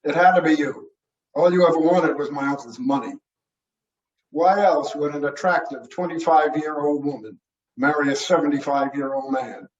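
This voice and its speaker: A man's voice: a little high, natural voice. A man voicing an angry, accusing nephew in a mystery story reading. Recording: roomy, muffled, distant mic.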